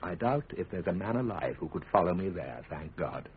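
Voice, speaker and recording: Husky, principled Tudor statesman. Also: deep voice, big pitch swings, heavily acted. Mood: calm, wry. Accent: English. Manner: measured, eloquent, ironic. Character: principled, shrewd middle-aged male Tudor lawyer and father. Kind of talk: British radio drama acting. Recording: muffled lo-fi radio recording.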